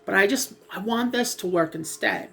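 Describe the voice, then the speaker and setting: A woman's voice: mid-range voice, moderate pace, vocal fry, bright, forceful tone. A woman vlogging casually about dyeing her hair, hesitant and rambling with many ums, sniffly and a little froggy from a lingering cough. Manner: hesitant, rambling, full of ums, self-apologizing. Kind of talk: casual chat while doing hair.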